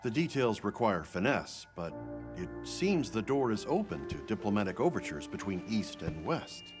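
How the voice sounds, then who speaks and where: Polite officer type in a fantasy game, lowish voice but lively, formal, bit of music under it. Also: male voice, moderate pace. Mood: earnest, concerned. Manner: formal, precise, courteous. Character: deferential, earnest male aide reporting to his commander. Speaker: subordinate officer character. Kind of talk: cinematic fantasy game acting.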